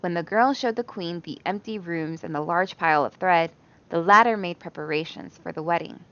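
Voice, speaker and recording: A woman's voice: lowish voice, normal speed, fairly bright tone, dull audio. A woman reading a children's bedtime story aloud with expression.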